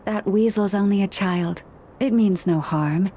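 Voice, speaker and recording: A woman voicing a calm, composed young woman who guides others with the help of animal helpers, in a video game cutscene. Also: medium pitch, a little slow, a little hiss or hum, close to an everyday voice. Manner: calm and reassuring.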